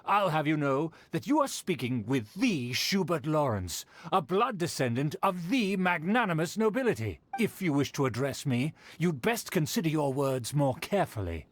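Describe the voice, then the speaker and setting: A man's voice: fairly high pitch, big pitch swings, normal speed, few pauses. Stuck-up noble man in a game, super formal n scornful, voice goes up and down a lot. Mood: haughty, scornful. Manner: pompous, formal, disdainful. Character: haughty, indignant male aristocrat of a declining noble clan. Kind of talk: scripted video game character acting.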